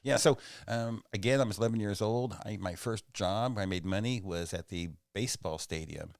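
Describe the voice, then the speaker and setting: A man in his sixties from Oklahoma with a loud voice set a little low. He tells stories in an animated, emphatic way, as a comedian guest in a small studio. The sound is close and dry. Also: normal speed, gravelly, no echo. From Oklahoma, USA. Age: 60s. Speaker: podcast guest, stand-up comedian. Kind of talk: casual chat, storytelling.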